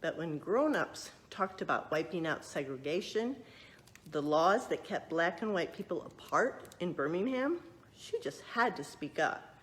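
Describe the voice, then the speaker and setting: A woman's voice: on the low side, animated, measured pace, fairly bright tone. A woman reading a picture book aloud expressively, adding explanatory asides and questions for young listeners. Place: museum exhibit space.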